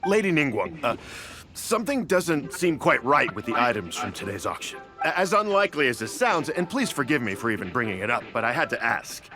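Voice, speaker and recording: A man's voice: mid-range voice, sing-song, fairly quick, sharp tone, faint music behind. An actor playing an anxious, deferential male bidder in a video game, earnest and hesitant, prone to stammering. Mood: nervous, apologetic.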